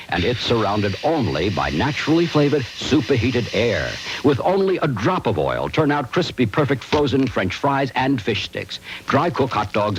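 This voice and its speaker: Male announcer, lively pitch, upbeat sales read on a noisy broadcast recording. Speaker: commercial announcer. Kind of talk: infomercial voice-over.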